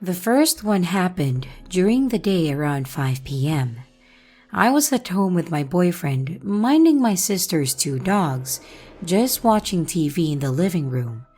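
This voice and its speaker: A woman hosting and narrating creepy first-person stories in a slow, expressive way. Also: lowish voice, faint music behind. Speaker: channel host and narrator.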